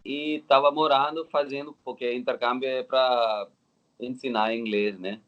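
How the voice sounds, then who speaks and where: A man's voice: mid-range voice, steady tone. Dull-sounding recording, Indian-accented man. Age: 30s. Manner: halting, non-native Portuguese with simple phrasing. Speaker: interview guest. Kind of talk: casual personal storytelling. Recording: dull, lo-fi audio.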